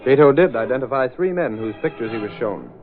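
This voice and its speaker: A man plays a formal, emphatic radio serial narrator, speaking quickly at medium pitch. Music plays prominently. The recording is narrow and old. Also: animated, natural voice. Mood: urgent, formal. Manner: formal, emphatic announcer delivery. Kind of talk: 1930s-40s radio drama scripted narration. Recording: phone-like vintage radio recording.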